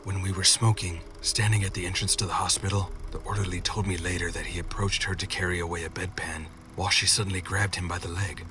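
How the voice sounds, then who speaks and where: A man's voice: sits low, level tone, fast, hardly any pauses, distant mic, background noise. A man narrating a scripted first-person scary story as a horror storyteller.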